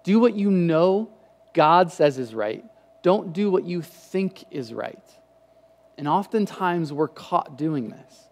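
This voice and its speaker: A man in his thirties preaches a casual, conversational sermon from a church stage, his voice a touch higher than most men's. He talks through a headset mic, often restarting phrases as he gestures. A long hall reverb trails his words. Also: slow. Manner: conversational, animated hand gestures, frequent restarts. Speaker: pastor. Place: church stage with lit patterned backdrop.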